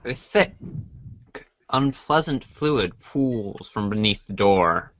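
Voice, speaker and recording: A man's voice: fairly low pitch, lively intonation, takes it slowly, fairly bright tone, no room sound, dull audio. A man narrating a scripted read-along storybook in the second and third person.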